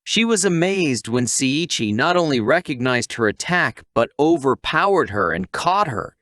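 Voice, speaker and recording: Male narrator, scripted anime recap, animated swooping intonation, mid-range pitch, very dry recording. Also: normal speed, dry recording.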